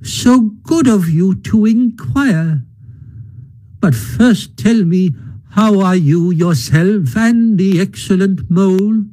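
A sulky, self-pitying storybook toad who talks in a faint, mock-dying whimper, put on by a man reading aloud. The voice sits well above his own, thin and high, and every phrase is stretched out slowly. The tone is soft and dark. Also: poor audio. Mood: feeble, plaintive. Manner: faint, drawn-out, mock-dying plaintive delivery.

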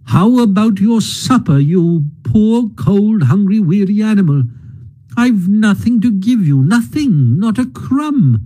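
A man's voice: high-pitched, animated, takes it slowly, dark tone, pitched above the performer's own voice, full character voice, low-quality recording. A man voicing a timid, gloomy male mole in a storybook reading, moaning and self-pitying.

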